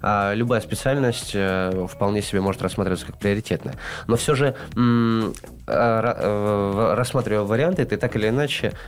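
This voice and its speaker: A man's voice: fairly low pitch, moderate pace. A man in his thirties hosting a studio talk show, asking guests questions in long, elaborate sentences full of fillers. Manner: wordy, elaborate phrasing with many fillers. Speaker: host. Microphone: desk microphone.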